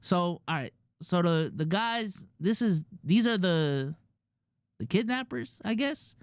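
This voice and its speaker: A man's voice: high-pitched, animated, takes it slowly, no echo. A male streamer with a Filipino accent, talking loosely to his chat with slang and lots of restarts, close to a dry mic. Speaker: streamer and podcast host. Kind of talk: casual commentary to a live chat.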